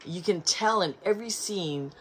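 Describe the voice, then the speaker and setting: A woman's voice: fairly low pitch, moderate pace, slight background noise. A middle-aged woman chatting through a thrift haul at home, reading back covers aloud and stumbling over names, recorded by a camera in front of her in a room lined with shelves. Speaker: collector showing a thrift haul. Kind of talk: casual haul video. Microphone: camera in front, seated in armchair.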